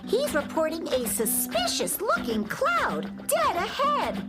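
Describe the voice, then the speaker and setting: An excitable young girl first mate in a children's radio play. Her voice is very high and lively, and loud music plays behind it. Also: slow pace, exaggerated voice. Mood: earnest, reporting. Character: eager girl first mate of a flying dream ship.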